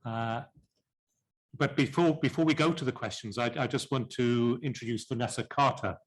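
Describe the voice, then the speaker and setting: Man chairing a panel, halting. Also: medium pitch. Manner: polite, halting, repeats words.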